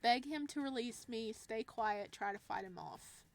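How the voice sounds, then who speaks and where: A woman's voice: fairly high pitch, unhurried, creaky. Playful woman reading a visual novel aloud. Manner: playful, giggly, reactive. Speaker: let's player narrating a visual novel. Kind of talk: reading game text aloud with casual commentary.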